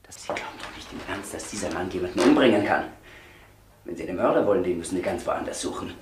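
A man's voice: low voice, expressive, quick pace. An actor playing a suspect's indignant, protective brother in a crime radio drama, pressing his point insistently. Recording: noisy, echoey old radio drama recording.

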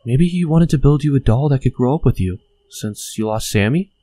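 A young man playing a gentle, concerned friend in a comic dub, speaking in a hesitant, earnest way. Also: mid-range voice, expressive, a little fast, no room sound, lightly acted. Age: young adult. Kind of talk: animated comic dub acting.

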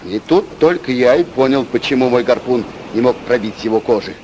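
An actor in a radio play voicing a bold, hot-tempered harpooner with a blunt, forceful manner. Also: male voice, mid-range voice, lively intonation, a little fast, slight background noise, natural voice, low-quality recording. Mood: brisk.

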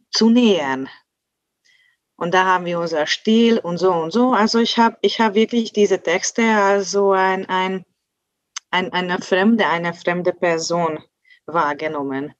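A woman in her thirties, a native Hungarian speaker, talks slowly and haltingly, restarting phrases as she hunts for words. Her voice sits a little low. The earphone call audio is dry and dull, with no room around it. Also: no room sound. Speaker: translator, guest. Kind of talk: video call conversation. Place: indoors at home in front of a blue backdrop. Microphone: earphone headset on a video call.

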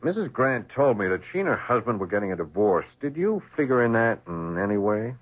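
A man's voice: fairly low pitch, very animated, fairly quick. A man playing a businesslike, formal homicide lieutenant in a 1970s radio drama. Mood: probing. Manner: formal, methodical. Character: brisk, businesslike male police homicide lieutenant. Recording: noisy, tinny 1970s radio broadcast.

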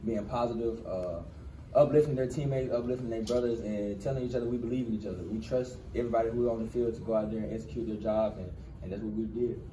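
A young man in his twenties fields reporters' questions in a crowded press room, speaking quickly in a fairly low voice and leaning on relaxed slang. He tends to repeat his phrases as he talks. The sound is roomy and picked up from a distance, with steady background noise. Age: 20s. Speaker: college football player. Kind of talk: post-game press conference answers. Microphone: cluster of handheld press mics.

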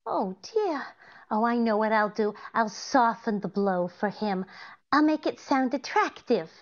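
Woman playing a high, lilting, scatterbrained radio-comedy wife. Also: lively intonation, a little slow. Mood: earnest, hopeful. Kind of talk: 1940s radio comedy acting. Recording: slightly dull video-call audio.

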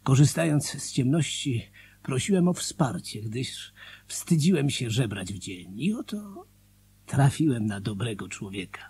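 An actor in a radio drama playing a solemn, grateful former gambler, confiding in a husky, whispery voice. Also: male voice, medium pitch, sing-song, measured pace, dark tone, full character voice. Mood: confiding, earnest. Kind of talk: Polish radio drama monologue, dramatic reading.

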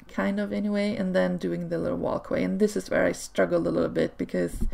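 A woman whose first language is Swedish gives relaxed, chatty commentary over gameplay footage. Her voice carries a strong vocal fry, and her pitch sits in a middle range. She fills her sentences with ums and little laughs. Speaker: video creator. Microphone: home desk microphone.

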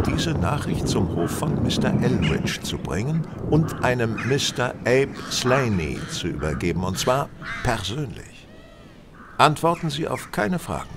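Brilliant sleuth for radio play: precise, faintly condescending, lively intonation, loud background ambience. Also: male voice, mid-range voice, very noisy. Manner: precise, authoritative, slightly condescending. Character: brilliant, self-assured, commanding English detective. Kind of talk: German audio drama acting.

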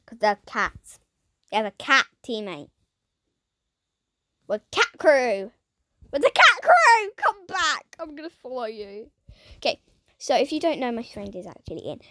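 A woman giving playful, dramatic commentary over a video game, her voice croaky and strained. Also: fairly high pitch, very animated, normal speed, pauses often, no room sound, studio quality. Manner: dramatic, silly, swings between shouting and whispering. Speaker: gaming YouTuber.

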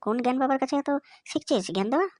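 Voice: high-pitched, expressive, very fast, a little mellow, no room sound, clean studio sound. A performer voicing a brash, foul-mouthed cartoon schoolboy with a cocky, scolding, slangy way of talking. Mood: irritated, scolding. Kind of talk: comedy cartoon dialogue.

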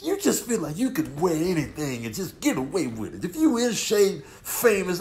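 A man's voice: on the high side, darkish tone. A man giving exaggerated, incredulous comedic roasting commentary.

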